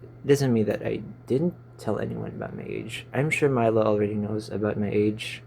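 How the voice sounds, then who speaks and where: Young Filipino-accented man, lowish warm voice, casual rambling delivery with verbal stumbles, phone-recorded. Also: moderate pace, vocal fry, a little hiss or hum. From Philippines. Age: 20s. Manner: stumbling, fumbling over words. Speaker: YouTuber. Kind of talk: casual rambling vlog chat. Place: small stuffy room.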